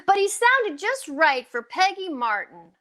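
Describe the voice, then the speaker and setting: A woman playing a high-pitched, coy sitcom housewife. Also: very animated, unhurried, bright, forceful tone, exaggerated voice. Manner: coy, theatrical, teasing. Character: meddlesome, coy young housewife bent on matchmaking. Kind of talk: old-time radio sitcom acting.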